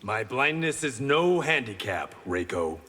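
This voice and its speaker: A man's voice: mid-range voice, sing-song, a little slow, sharp tone, big-room sound, soft background music, exaggerated voice. An actor playing a stoic blind swordsman hero in a video game, with dry, confident wit. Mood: wry.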